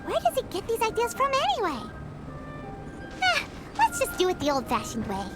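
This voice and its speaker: A woman voicing a tiny, cheeky, childlike fairy companion in a video game, with a squeaky voice and blunt manner. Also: wildly swooping pitch, moderate pace, over loud music, heavily acted. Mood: excitable, cheeky. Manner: refers to self in third person, blunt. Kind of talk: video game character dialogue.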